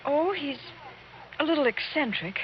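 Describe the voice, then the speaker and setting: A woman's voice: high voice, animated, a little slow. An actress playing an anxious young woman in love in a 1940s radio drama, with earnest, urgent pleading. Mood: nervous. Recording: muffled vintage radio broadcast with music.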